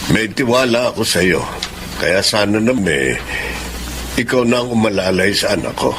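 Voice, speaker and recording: A man as kindly Filipino father, earnest, animated pitch, radio drama, noisy background. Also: normal speed, lightly acted. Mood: kindly, trusting. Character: kindly, trusting ailing father of grown daughter.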